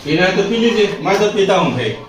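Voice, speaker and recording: A man's voice: sits high, sing-song, fairly quick, distant mic, slight background noise. A drunk male school teacher talking back defiantly and repeating himself in a heated confrontation. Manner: defiant, challenging, repetitive. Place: inside a school. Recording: echoey, off-mic, music laid over.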